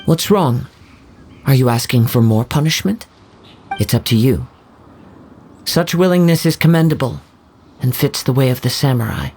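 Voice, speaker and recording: An actor playing a calm, reflective young samurai in a game, measured and soft-spoken. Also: male voice, medium pitch, a little hiss or hum, lightly acted. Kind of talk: game voice acting. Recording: game audio over music.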